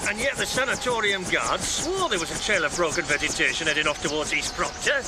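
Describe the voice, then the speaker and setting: Man acting British police officer, cautious, animated high voice, radio drama, background score. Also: fairly quick, close to an everyday voice. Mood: concerned, businesslike. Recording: radio drama with music underneath.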